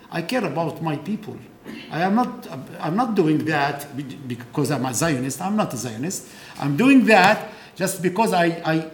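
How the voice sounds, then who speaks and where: A man giving an earnest lecture answer, acting out conversations and often checking in with "okay?". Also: a little high. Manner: earnest, re-enacts dialogues, frequent "okay?" tags. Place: lecture room. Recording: echoey lecture hall, faint hiss.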